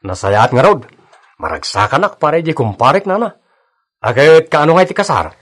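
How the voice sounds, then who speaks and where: A man playing a lively, teasing older village father in an Ilocano radio drama, chatty and expressive, with an Ilocano accent. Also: fairly high pitch, normal speed. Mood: jovial.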